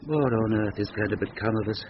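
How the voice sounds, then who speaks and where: An actor playing a lovestruck, restless schoolmaster musing to himself with wry irony in a radio drama. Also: male voice, fairly low pitch, fairly quick, close to an everyday voice. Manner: musing, ironic, clipped exclamations. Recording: muffled, noisy old radio recording.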